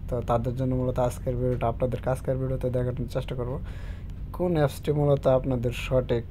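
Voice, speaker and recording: A man's voice: medium pitch, flat delivery, quick pace, dark tone, a little hiss or hum. A man giving a casual, unscripted app tutorial, often searching for words as he explains. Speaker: tech tutorial presenter.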